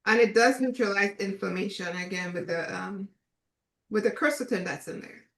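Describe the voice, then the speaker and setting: A Trinidadian woman chef giving a relaxed, chatty cooking demonstration, with many fillers. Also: medium pitch, moderate pace, roomy sound.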